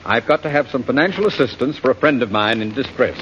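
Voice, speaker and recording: A man's voice: medium pitch, animated, fast, close to an everyday voice. An American man playing a pompous, officious middle-aged school principal and former army major, hemming his way through a 1940s radio sitcom. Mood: evasive, wheedling. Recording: dull, very noisy old radio recording, audience.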